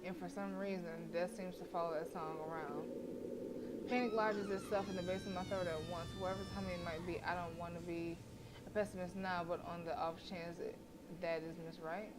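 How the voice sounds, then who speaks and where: A woman's voice: lowish voice, steady tone, normal speed. A woman livestreaming a horror visual novel, reading the game text aloud casually, stumbling and correcting herself as she goes. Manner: casual, stumbles over words and self-corrects. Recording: noisy livestream microphone.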